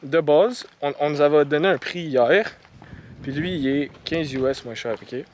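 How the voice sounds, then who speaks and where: A man's voice: on the high side, normal speed. A young man with a Québécois accent chatting casually as a travel vlogger, outdoors with a handheld phone. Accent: Québécois French. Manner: chatty, laid-back, playful tour-guide patter. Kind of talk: casual travel vlog chat. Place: outdoors in a nature valley. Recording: loud outdoor noise.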